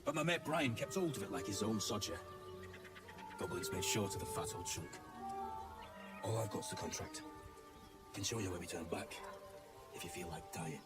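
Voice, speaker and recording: An actor playing a gruff, cynical mercenary in a video game, with a British accent and a rough, sardonic, colloquial way of talking. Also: male voice, lowish voice, lively intonation, normal speed, long pauses, far from the mic, over loud music, close to an everyday voice. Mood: bitter, sardonic. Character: gruff cynical male mercenary survivor of a slaughtered crew. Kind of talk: video game dialogue acting.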